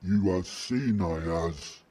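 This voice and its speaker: A man voicing a gigantic, peaceable troll in an audio drama, husky and ponderous, with broken grammar and a wariness of violent humans. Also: sits low, slow, exaggerated voice. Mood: grave, reluctant. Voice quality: husky, dark voice.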